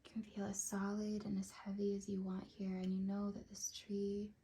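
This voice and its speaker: Female meditation guide, ASMR style: hushed, very breathy delivery, even and gentle, unhurried. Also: mid-range voice. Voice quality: breathy, whispery. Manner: soothing, gentle, unhurried with long pauses.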